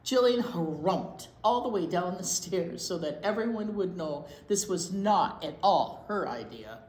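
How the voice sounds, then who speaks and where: A woman reading a picture book aloud to young children in an expressive storytime style, pausing to wonder aloud. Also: on the low side. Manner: animated, pauses to wonder aloud and question young listeners. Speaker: children's storytime reader at a library. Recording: roomy echo with clear background noise.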